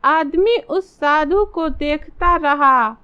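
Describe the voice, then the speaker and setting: A woman's voice: high-pitched, extremely slow, highs cut off, noisy background. A woman narrating a scripted children's story as a storyteller.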